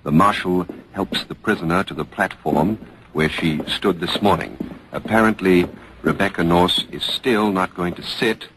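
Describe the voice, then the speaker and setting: Serious radio newsman, deep voice, formal, measured, live commentary, slightly noisy old broadcast. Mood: watchful, descriptive. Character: serious on-the-scene radio news reporter at trial.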